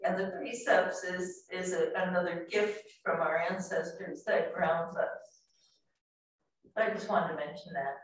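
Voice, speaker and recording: A woman's voice: lowish voice, fairly even intonation, measured pace. A woman offering a spontaneous comment in a group discussion over a video call, as a fellow teacher. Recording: very echoey, distant, faint noise, clicks.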